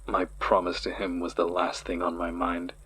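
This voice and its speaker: An actor playing a haunted man recalling childhood horrors in a horror audio drama, with a husky voice. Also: deep voice, completely flat delivery, normal speed, bright, forceful tone, a little hiss or hum. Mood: haunted, weary. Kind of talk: dramatic first-person horror narration.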